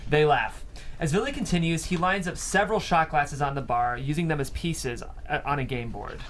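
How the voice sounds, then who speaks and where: Higher-pitched man narrating a script. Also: normal speed, fairly bright tone, a little hiss or hum. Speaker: narrator reading stage directions. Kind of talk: scripted narration at a screenplay table read.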